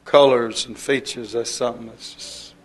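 Flat-voiced man preaching slowly. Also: mid-range voice, breathy edge. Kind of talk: informal sermon.

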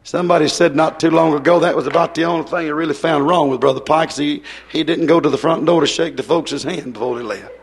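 A hoarse man preaching a fiery revival sermon, hollering and then dropping soft. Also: fairly high pitch, fast, hardly any pauses. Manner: hollering, swings from loud to soft.

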